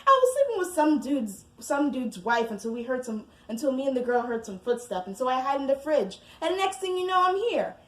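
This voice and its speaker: A woman's voice: high voice, big pitch swings, fairly quick, few pauses, roomy sound. A woman playing a cocky, dismissive man, a secret lover, brash in a comic story.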